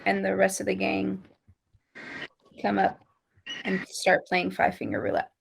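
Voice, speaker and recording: Female table-read narrator: mid-pitched, gravelly fry, scripted stage-direction delivery. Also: little pitch movement, measured pace, slight background noise.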